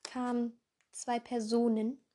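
A young woman with a hoarse voice hosting a casual podcast in a rambling way, full of fillers and self-corrections, jumping between voices. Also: a little high, level tone, slow, dark tone. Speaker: podcast host.

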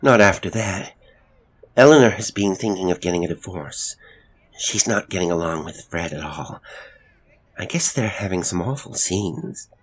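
A man voicing a distraught, defensive young mother in an audiobook story, agitated and emphatic and quick to anger. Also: low voice, expressive, a little hiss or hum. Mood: upset, pleading.

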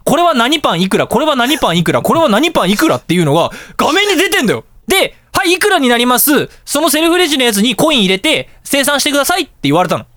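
A man hosting a casual radio talk show, telling anecdotes in an animated way and acting out dialogue and reactions. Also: extremely high pitch, quick pace, hardly any pauses, no room sound, clean studio sound.

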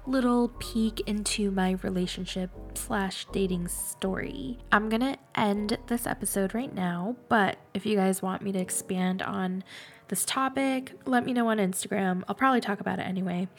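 A woman's voice: mid-range voice, moderate pace, soft background music. A young adult woman with a nasal, congested voice hosting a casual, confessional solo podcast, speaking candidly and informally. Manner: candid, chatty, informal with swearing. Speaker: podcast host.

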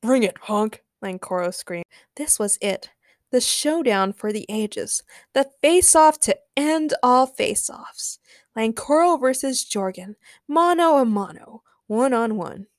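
A woman narrating a comic story from a script in a dramatic, expressive way, as a podcast host. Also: a little high, slow, no echo, studio quality.

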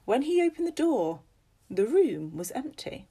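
Woman acting theatrical, high-pitched ghost-tour guide. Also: very animated, unhurried, dark tone, breathy edge, exaggerated voice. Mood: relishing. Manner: theatrical, emphatic.

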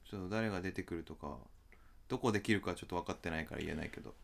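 A man's voice: deep voice, very fast, vocal fry. A man chatting casually as a podcast host. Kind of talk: casual chat.

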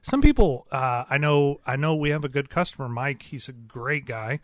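Man, radio co-host, casual chat, folksy wording, medium pitch, dry studio sound. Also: expressive, normal speed, no room sound. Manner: folksy, colloquial turns of phrase.

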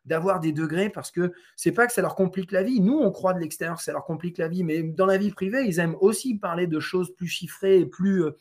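A man from France with a fairly high voice answers interview questions in relaxed conversation. He hesitates a lot, filling gaps and restarting his sentences as he explains. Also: a little fast, few pauses. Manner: hesitant, many fillers and restarts. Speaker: Trackman representative on golf tours.

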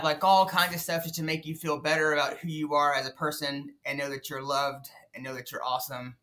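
A man's voice: fairly high pitch, sharp tone. A man who stutters, a podcast guest, talking casually with many fillers and restarts. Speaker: podcast guest, nonprofit founder. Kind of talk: casual interview talk.

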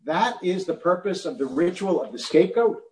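Male preacher, slightly higher voice, chatty storytelling, roomy echo. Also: expressive, moderate pace, darkish tone. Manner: conversational, chuckling asides. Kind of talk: sermon storytelling.

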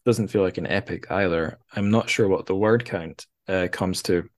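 A man's voice: deep voice, moderate pace. A man hosting a podcast, discussing books casually and reflectively, feeling for his words in an informal way. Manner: hesitant, reflective, searching for words. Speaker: podcast host.

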